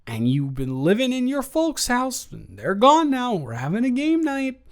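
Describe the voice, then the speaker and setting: A man's voice: very high-pitched, wildly swooping pitch, normal speed, exaggerated voice. A man playing an earnest, flustered ex-boyfriend in an intimate improvised audio roleplay, stammering and trailing off as he talks. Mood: incredulous, hopeful.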